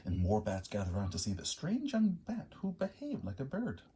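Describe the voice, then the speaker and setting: A man reading a picture book aloud in an animated, expressive storyteller way. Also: lowish voice, a little fast, slightly rough.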